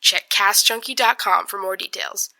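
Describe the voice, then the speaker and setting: A woman's voice: mid-range voice, normal speed, creaky, pushed and bright. A young woman giving a chatty, scripted promotional read for a podcast.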